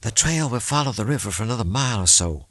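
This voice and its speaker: A man voicing a tough, defiant woman deputy sheriff in an audiobook, brisk and curt. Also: on the low side, animated, a bit bright, no room sound, pitched above the performer's own voice. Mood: low, matter-of-fact.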